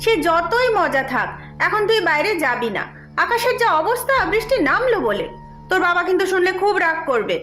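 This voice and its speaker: A woman's voice: extremely high pitch, sing-song, brisk, a bit bright, full character voice. A woman playing a strict, worried mother in a cartoon, scolding and giving orders in a nagging way. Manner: nagging, commanding. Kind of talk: animated cartoon voice acting. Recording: echoey, music behind.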